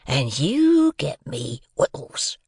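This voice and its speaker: A man voicing a ragged, threatening male convict in a storybook, with slow, rustic dialect speech. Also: medium pitch, huge pitch swings, creaky, darkish tone, slightly rough, dry recording, clean studio sound, heavily acted. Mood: slow menace. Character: menacing, ragged, growling escaped convict, rough man.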